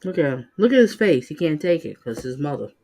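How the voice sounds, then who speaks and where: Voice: mid-range voice, sing-song, brisk, mellow, a little hiss or hum. A livestream host giving opinionated, conversational commentary in a casual style. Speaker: host of a livestream reaction channel.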